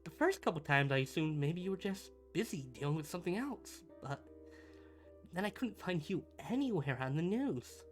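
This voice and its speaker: A man's voice: high voice, lively intonation, normal speed, soft background music. A man playing a pompous, melodramatic supervillain in a scripted ASMR roleplay, a bumbling rival who slips between grand speeches and chatty asides. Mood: chatty, concerned. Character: theatrical, bumbling supervillain, gloating yet secretly caring rival.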